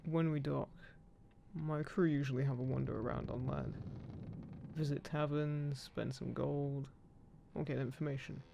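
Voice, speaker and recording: A man's voice: medium pitch, fairly even intonation, a little slow, background noise. A man playing a guarded ghost pirate captain, protective of his crew, speaking plainly and unhurriedly to one listener in an audio roleplay. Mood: calm, matter-of-fact. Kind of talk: immersive roleplay audio drama, spoken intimately to one listener. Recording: clear background ship-creak sound effects. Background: creaking wooden ship ambience.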